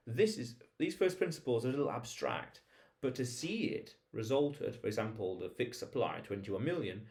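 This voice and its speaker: A man hosting a vlog, talking informally to viewers in an explainer monologue, emphatic, repeating phrases and posing rhetorical questions. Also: mid-range voice. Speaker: vlog host.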